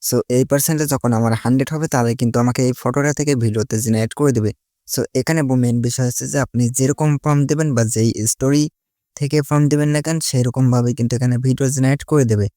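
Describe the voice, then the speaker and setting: A man's voice: medium pitch, fairly even intonation, quick pace, dark tone, no room sound, clean studio sound. A man giving a casual step-by-step tutorial, mixing in English words and leaning on repeated linking phrases. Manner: repetitive connective phrases, mixes English words into Bengali. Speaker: tutorial host.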